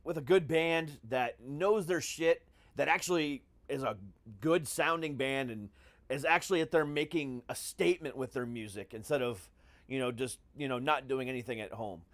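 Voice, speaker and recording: A man's voice: mid-range voice, normal speed, no room sound. A middle-aged American man hosting a podcast in casual, profane talk, with a brash, mock-grandiose showman's manner. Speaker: podcast host.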